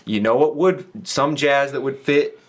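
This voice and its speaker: A man with a medium-pitched voice talks in a casual, conversational way. He sounds like a podcast co-host in a panel chat, informal and opinionated in his phrasing. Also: a little slow. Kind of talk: casual panel chat about pro wrestling.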